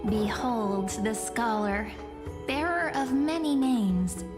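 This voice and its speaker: A woman voicing a calm, mysterious fortune teller in scripted game dialogue, recorded with heavy reverb. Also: fairly high pitch, takes it slowly. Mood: mysterious, measured. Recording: long hall reverb over music.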